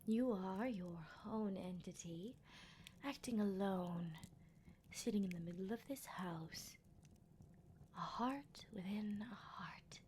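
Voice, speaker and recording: A woman acts out a vampire captor in an ASMR roleplay, speaking in an intimate, whispery voice at a middle pitch. The vampire is menacing yet playful, taunting a captive at a leisurely, teasing pace. Clear background noise and ambience sit behind the voice. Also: slow pace, darkish tone, close to an everyday voice. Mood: hushed, menacing.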